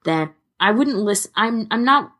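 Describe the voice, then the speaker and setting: Husky-voiced woman chatting casually. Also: medium pitch, animated, moderate pace, fairly bright tone. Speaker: podcast co-host. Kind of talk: casual chat about fan fiction audio readings.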